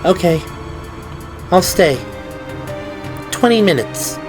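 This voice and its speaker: A man's voice: high-pitched, lively intonation, slow, voice pushed up. A man voicing an eager, insistent young woman in an audio drama, earnest and pleading, with music behind. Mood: reluctant.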